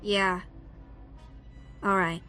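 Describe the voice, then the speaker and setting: An actress playing a wary young operative in a video game. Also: female voice, medium pitch, level tone, very slow, sharp tone, faint music behind.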